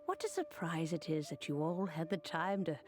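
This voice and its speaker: A woman voicing a kindly old female master in a fantasy game, speaking graciously in old-fashioned wording with a husky voice. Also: low-pitched, big pitch swings, moderate pace. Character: kindly old female master reminiscing about lost gods. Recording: music behind the voice.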